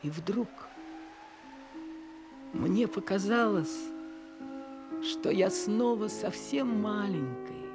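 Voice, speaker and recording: A man playing a feverish, exhausted young man who narrates his story in a radio play, earnest and quiet. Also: sits high, very slow, pauses often, over music, exaggerated voice. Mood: weary. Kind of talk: radio drama narration and dialogue.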